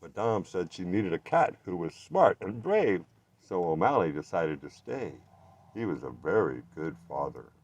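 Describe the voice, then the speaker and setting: Male children's storybook narrator: low-leaning voice, expressive, deliberate, very varied pitch. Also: dull audio. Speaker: children's bookstore reader.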